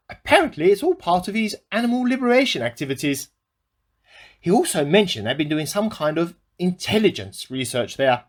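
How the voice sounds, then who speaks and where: An actor in a radio play playing a bemused amateur scientist who keeps a spoken diary, musing aloud in an earnest, slightly formal and self-questioning way. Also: medium pitch. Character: bemused amateur scientist keeping an audio diary, increasingly suspicious.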